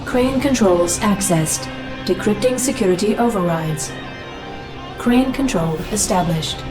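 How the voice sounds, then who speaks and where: An actress voicing a calm, impersonal computer assistant giving formal mission briefings in a video game. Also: female voice, medium pitch, far from the mic, music in the background, close to an everyday voice. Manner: measured, formal, unemotional briefing.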